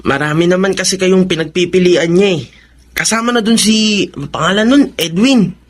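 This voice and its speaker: A man's voice: high voice, moderate pace. A man playing a young, chummy and frank best friend in a Tagalog radio drama. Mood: frank, probing. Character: young male buddy, frank, teasing confidant.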